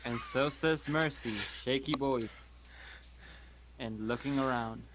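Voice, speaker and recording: A man's voice: mid-range voice, slow, noisy background. A man casually chipping in as a player during a tabletop role-playing game.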